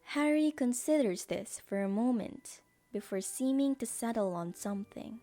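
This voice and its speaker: A woman's voice: fairly high pitch, lively intonation, normal speed, warm tone. A woman narrating an audio drama in a scripted storybook style.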